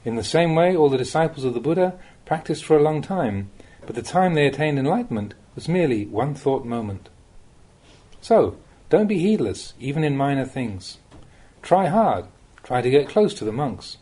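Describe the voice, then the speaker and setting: A man reading a Buddhist Dhamma talk aloud as a monk, in the measured, plain-spoken way of a teacher, with rhetorical emphasis. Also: fairly high pitch, big pitch swings, dark tone.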